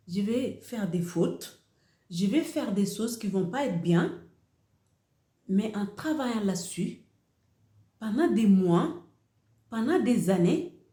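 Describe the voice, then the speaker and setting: Slow-speaking woman, echoey room. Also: medium pitch, dark tone. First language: Wolof. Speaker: host of a motivational channel. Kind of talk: motivational talk to camera.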